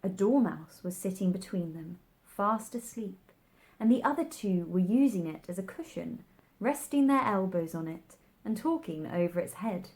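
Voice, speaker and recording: A woman reading a storybook as narrator, in an expressive, deliberate way. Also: medium pitch. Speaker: storybook reader.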